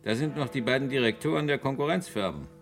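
An actor playing a measured, probing police inspector in a German radio crime play, formal and polite. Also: male voice, mid-range voice, expressive, faint music behind, natural voice. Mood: deliberating.

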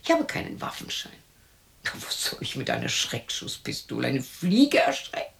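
An actress playing a lonely, bitter widow of sixty in a radio play, talking to herself in a husky, creaky voice that often drops to a whisper, scolding and questioning herself. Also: female voice, rumbling low, huge pitch swings, moderate pace, heavily acted. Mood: insistent. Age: 60s. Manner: talks to herself, scolding and questioning, abrupt shifts.

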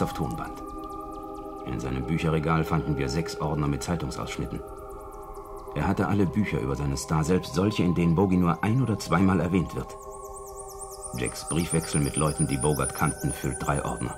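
An actor playing a matter-of-fact secret service investigator briefing a colleague in a radio play, in a dry, clipped manner. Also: male voice, extremely low pitch. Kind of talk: 1970s German radio play dialogue.

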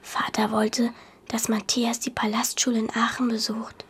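A woman playing a bright, eager, stubborn seven-year-old medieval girl in a German radio play, earnest and precise. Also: on the high side, little pitch movement, normal speed, a little hiss or hum, close to an everyday voice. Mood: hushed pleading.

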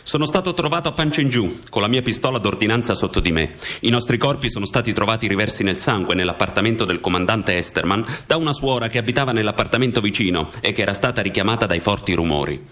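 A man playing a dead young Swiss Guard corporal in a radio drama, giving a sober, measured, factual account. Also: fairly low pitch, lively intonation, fairly bright tone. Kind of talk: scripted radio drama monologue.

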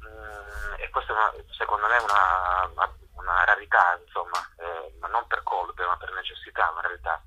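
A man answering as a guest in a radio interview, hesitant and halting as he searches for words. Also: sits low, fairly even intonation, pushed and bright, slight background noise. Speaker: interview guest, theatre artist and workshop teacher. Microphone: telephone. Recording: dull telephone line on radio.

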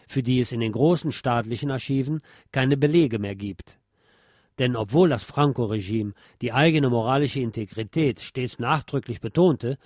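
A man reading a nonfiction text aloud as a podcast host, in a formal, measured, factual manner. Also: mid-range voice, darkish tone, no echo, clean studio sound.

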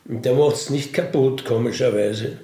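A man's voice: medium pitch, a little slow, mellow. A middle-aged or older man with an Austrian accent, candidly recalling his own life in a colloquial, matter-of-fact, unapologetic way. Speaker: ex-convict interviewee telling his life story. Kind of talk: candid conversational recollection.

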